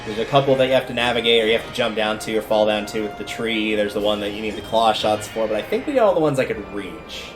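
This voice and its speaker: A man with a fairly low voice talks casually while streaming a video game. He narrates his choices as he goes, conversationally thinking aloud. Game music plays behind him. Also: lively intonation, quick pace, few pauses. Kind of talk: casual gameplay commentary.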